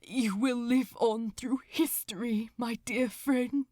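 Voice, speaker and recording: Lady doing a gentle male angel, soft and breathy, calm, tender, storybook reading. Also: on the high side, slow pace, no room sound, studio quality. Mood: tender, tearful.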